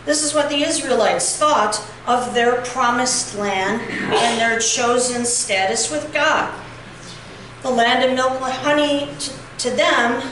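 A woman's voice: on the high side, far from the mic, noisy background. Woman preaching in an echoey church. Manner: reflective, measured preaching with occasional fillers. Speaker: pastor. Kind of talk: sermon. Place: church sanctuary, at a pulpit. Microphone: far camera.

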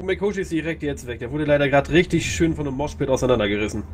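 A man casually commentating a video game match, chatty and quick to react. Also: a little high, crowd noise. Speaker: esports commentator.

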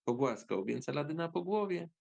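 A man's voice: a little high, sing-song, brisk, creaky, darkish tone, muffled recording. A man reading scripted fairy-tale narration in an audio drama.

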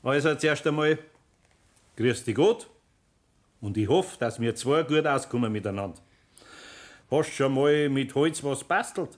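An actor playing a patient but firm older master carpenter with a Bavarian accent, speaking in a homely, deliberate way in a 1960s radio play. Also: male voice, medium pitch, animated, lightly acted. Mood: patient, instructing.

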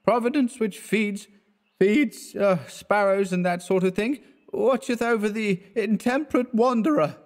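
Brief: male reader doing a tipsy, rambling young man, pitched high, words tripping over each other. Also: a little mellow. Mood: maudlin tipsy. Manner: slurred, halting, repeating words. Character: drunk young male artist, maudlin and rambling.

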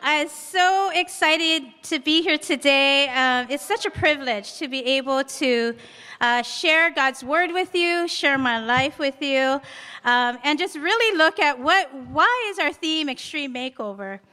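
Woman, forties, from Hawaii, church lesson, high voice, long hall reverb. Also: expressive, measured pace. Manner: warm, chatty, encouraging with light jokes. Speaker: women's day speaker. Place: large hall, indoors. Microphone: stand mic at podium.

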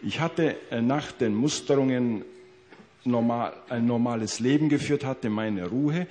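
A man interpreting a witness's testimony into German in court, pausing to search for words. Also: medium pitch, darkish tone. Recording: old 1960s courtroom tape.

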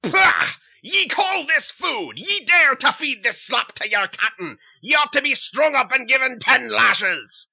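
A tyrannical old sea dog barks orders in a gravelly, husky roar, as a man puts him on. His voice swings widely from low growls to high shouts. It comes through a dull, lo-fi recording. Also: lively intonation, unhurried, no echo. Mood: bellowing. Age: older. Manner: bellowing pirate-style seaman's talk. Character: gruff old male retired sea captain, bullying.

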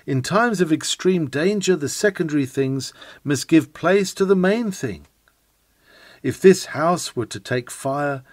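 A man reads a sermon in a fairly high voice that swings expressively up and down. He is earnest and emphatic, setting out each phrase like an orator. The sound is dry, with no room around it. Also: dry recording. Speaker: reader of a historic sermon. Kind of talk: sermon read aloud, oratorical.

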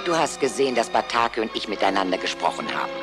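Grave old wild goose leader. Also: female voice, very deep voice, expressive, music in the background. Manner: solemn, measured. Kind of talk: animated series audio drama acting.

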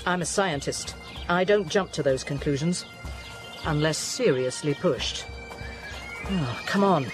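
A woman's voice: low voice, a little slow, long pauses, over music. An actress playing an inquisitive, dry-witted woman scientist who explains things wryly in a radio drama. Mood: keen, wry.

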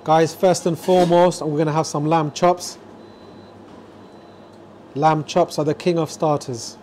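A man's voice: fairly high pitch, level tone, measured pace, a little mellow, slight background noise. Upbeat man vlogging in a kitchen. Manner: enthusiastic, talks to camera. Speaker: food vlogger. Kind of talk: casual food vlog. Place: restaurant kitchen and dining room. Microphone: lapel mic.

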